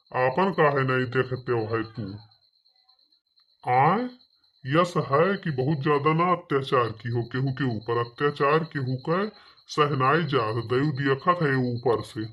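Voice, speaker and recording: A man's voice: medium pitch, lightly acted. A man voicing a reasonable, moralising village elder in a cartoon comedy, admonishing in an eastern Uttar Pradesh accent, on a dull recording.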